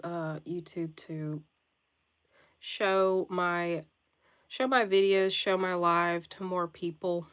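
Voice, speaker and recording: A woman with a congested, stuffy voice talks in an unhurried, leisurely way during a live online sale. She greets her viewers one by one and pauses often to clear her throat. Her pitch sits in an ordinary middle range for a woman. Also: a bit bright. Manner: friendly greetings to viewers by name; occasional coughing and throat clearing. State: stuffy nose from a cold. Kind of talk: casual livestream chat.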